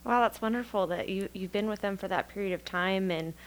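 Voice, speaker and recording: A woman hosts a podcast with a polite, formal welcome, then moves into interview questions. Her pitch sits in a typical middle range. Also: a little fast. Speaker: podcast host. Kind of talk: scripted intro, then interviewing.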